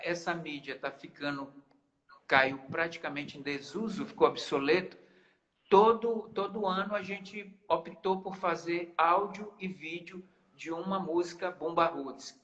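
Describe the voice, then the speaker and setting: A man's voice: on the high side, a little slow, a bit bright, muffled recording. A man, a guest musician in a casual live-stream interview, explaining patiently and often restarting his phrases. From Maranhão, Brazil. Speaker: guest musician, band founder.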